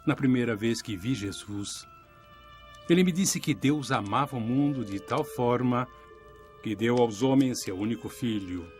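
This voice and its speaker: Gentle devout male disciple played by a man, slow earnest delivery, mid pitch, music underneath, animated Bible drama. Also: lightly acted. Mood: earnest devotion. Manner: earnest, reflective.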